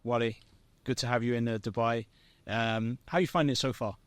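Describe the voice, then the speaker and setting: A man from the UK hosting an interview podcast, chatty and quick to laugh along. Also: medium pitch, fairly even intonation. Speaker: podcast host.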